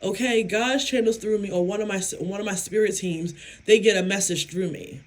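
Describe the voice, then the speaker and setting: A woman vlogger and psychic medium talking casually to her viewers, with a hoarse, raspy voice and an emphatic, self-assured way of speaking. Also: medium pitch. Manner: slow, emphatic, self-affirming, laughs often. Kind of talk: casual talk to camera.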